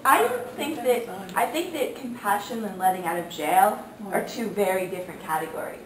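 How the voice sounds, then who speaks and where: A woman's voice: mid-range voice, lively intonation, moderate pace. A woman from Sydney, Australia, a conference delegate speaking tentatively in a group discussion. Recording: far off in echoey room, clear noise.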